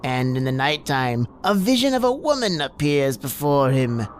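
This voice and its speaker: A man playing a creepy, cackling old hermit who tells horror tales in a theatrical, menacing, drawn-out manner. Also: medium pitch, very animated, slight background noise, lightly acted. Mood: ominous relish. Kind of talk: old-time radio horror hosting.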